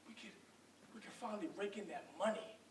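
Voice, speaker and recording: Man performing eager high-voiced hustler monologue. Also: fast, slight background noise, close to an everyday voice. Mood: scheming, dreamy. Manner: persuasive, excited street talk. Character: eager, scheming, smooth-talking hustler pitching his brother. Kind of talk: theatrical monologue. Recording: echoey room from distant mic.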